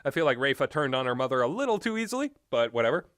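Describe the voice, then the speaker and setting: A man's voice: medium pitch, sing-song, very fast, a bit bright, no room sound, clean studio sound. A man giving casual, rambling and opinionated commentary on video games, often hesitating as he talks. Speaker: video game reviewer.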